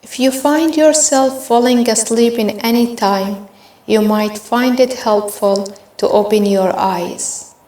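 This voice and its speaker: A woman narrating a guided meditation in a gentle, measured, instructional way. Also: a little high, steady tone. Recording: roomy, echoing voice recording.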